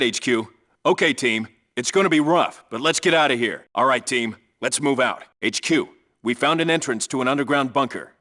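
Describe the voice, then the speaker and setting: A man's voice: medium pitch, bright, forceful tone. A man playing a no-nonsense special forces team leader in a video game, reporting in a clipped, businesslike, commanding way. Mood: urgent, commanding. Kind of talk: scripted radio call-outs, video game military acting.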